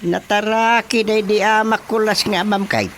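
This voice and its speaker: An actor with an Ilocano accent playing a teasing, drawling village official in a radio comedy drama. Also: male voice, high voice, fairly even intonation, moderate pace, a bit bright, slight background noise.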